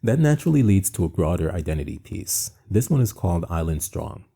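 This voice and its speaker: A man's voice: low voice, big pitch swings, fairly quick, gravelly, dark tone, breathy edge. A man from Jamaica hosting an art presentation, talking in a conversational, reflective and earnest way with frequent asides. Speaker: art show host and founder.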